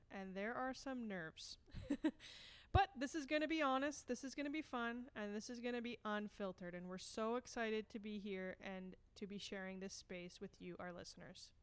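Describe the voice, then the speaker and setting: A woman's voice: on the high side, normal speed, clean tone. A woman co-hosting a casual podcast, playful and jokey in her delivery. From Canada. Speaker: podcast co-host, horse trainer.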